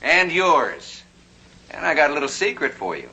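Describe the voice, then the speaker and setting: A charming, flamboyant frontier banker holds a crowd with a bright, forceful voice, as a man plays him in an old TV western. The sound is dull and slightly hissy, like a vintage television soundtrack. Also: on the high side, sing-song, moderate pace, vocal fry. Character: flamboyant, jovial 1860s male banker. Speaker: host and actor. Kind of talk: vintage TV drama narration and acting. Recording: muffled old TV soundtrack with hiss.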